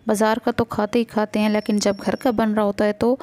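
A woman's voice: mid-range voice, level tone, brisk, a little mellow. A middle-aged woman narrating her home cooking in a chatty voice-over, opening each step with "achha". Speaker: cooking vlogger.

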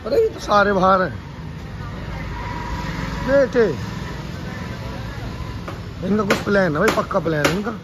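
High-voiced young man vlogging by phone. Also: animated, a little slow, pauses often, crowd noise. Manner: playful teasing commentary. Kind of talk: casual vlog chat. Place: gym, car and street outdoors. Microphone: phone held at arm's length. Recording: noisy street and gym background.

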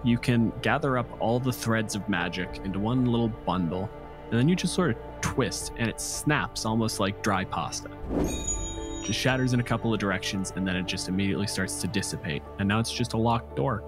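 Low-voiced male game master. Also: fairly quick, music in the background. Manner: informal, descriptive, sweary. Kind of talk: improvised tabletop game narration.

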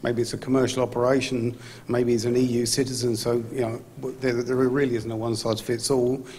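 A man's voice: mid-range voice, little pitch movement, fairly quick, a little mellow. A man on a conference panel, speaking as an aviation executive into a handheld mic. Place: large conference hall stage. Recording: long hall reverb, faint noise.

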